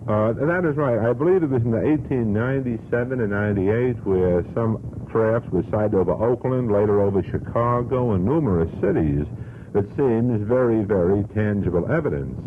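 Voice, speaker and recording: A man's voice: fairly low pitch, normal speed. A man on a radio panel speaks in a formal, wordy and courteous way, with some hesitation as he builds his points. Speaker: panelist questioning guests. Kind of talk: measured radio panel discussion. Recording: tinny old radio recording, faint noise.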